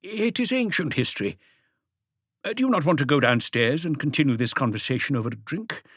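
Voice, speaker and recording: Guy doing a rich evasive husband in an audiobook mystery, higher voice, formal and defensive, kinda halting. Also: lively intonation, fairly bright tone, breathy edge, dry recording, studio quality. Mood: flustered. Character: evasive, haughty wealthy husband under police questioning.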